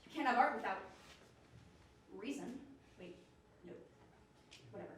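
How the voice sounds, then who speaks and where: A woman's voice: on the high side, lively intonation, unhurried, fairly bright tone. A woman on an amateur stage playing the stubborn, bossy, logical side of a young artist's mind, defensive and insistent. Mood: frustrated. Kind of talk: amateur one-act stage play acting. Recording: echoey stage, distant mic, noisy.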